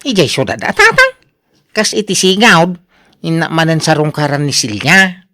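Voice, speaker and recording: A woman's voice: deep voice, very animated, normal speed, sharp tone, heavily acted. A woman playing an eager, easygoing young bachelor in love in a radio drama, with an Ilocano accent. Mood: eager, evasive.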